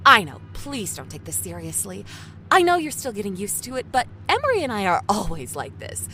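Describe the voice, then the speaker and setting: A woman's voice: mid-range voice, wildly swooping pitch, a little fast, pushed and bright, soft background music, full character voice. A woman acting in an audio drama as a vain, foul-mouthed, ruthless TV executive, theatrical and sarcastic. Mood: mock sweet.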